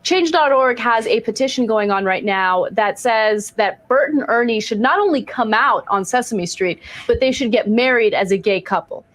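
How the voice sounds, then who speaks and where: A young adult woman whose first language was not English, giving emphatic, animated opinions as a political commentator. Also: a little high, normal speed, few pauses.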